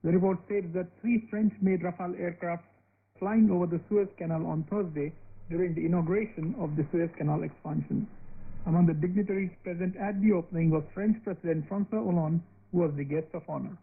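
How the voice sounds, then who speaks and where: A man's voice: high voice, a little fast, husky. A man in a radio studio, reading a scripted review of the newspapers. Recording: phone-like shortwave radio, faint noise.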